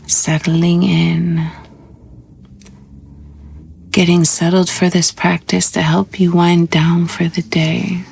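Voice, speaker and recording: A woman with a soft, breathy, husky voice guiding a scripted sleep meditation in a slow, soothing, hushed manner. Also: on the low side, flat delivery. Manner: slow, soothing, hushed delivery with long pauses. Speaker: yoga nidra meditation guide.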